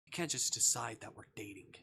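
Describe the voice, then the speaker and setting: A man's voice: on the low side, brisk, pushed and bright, slight background noise. A man voicing a defiant visual novel captive who protests in disbelief at a kidnapper. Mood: indignant. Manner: incredulous, protesting. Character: indignant, defiant captive arguing with their kidnapper. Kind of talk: visual novel character reading.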